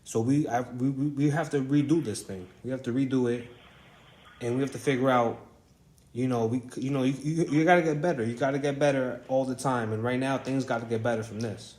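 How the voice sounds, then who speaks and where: A man speaking casually as an interviewer in a phone conversation, halting and repeating himself as he rambles through his points. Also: mid-range voice, fairly even intonation, darkish tone.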